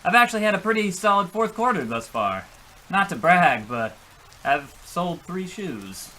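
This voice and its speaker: A man's voice: high voice, very animated, moderate pace, pushed and bright, slight background noise, heavily acted. A man playing a hapless, melodramatic shoe salesman in a comic radio play, earnest, flustered and prone to stammering. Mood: awkward, amiable. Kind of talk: comic old-time radio play acting.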